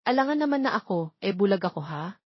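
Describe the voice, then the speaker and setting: A woman's voice: mid-range voice, lively intonation, fast talker, slightly rough, no echo, dull audio. A woman voicing a stern, authoritative wealthy man in a narrated love story. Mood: insistent. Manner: authoritative, curt. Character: stern, curt billionaire man feigning blindness.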